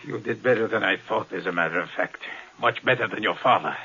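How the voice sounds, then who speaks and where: An actor playing a cold, secretive, proud space explorer in 1950s radio drama, clipped and commanding. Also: male voice, on the low side, fairly quick, pushed and bright, breathy edge, heavily acted. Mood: cold, superior. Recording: noisy, muffled 1950s radio broadcast.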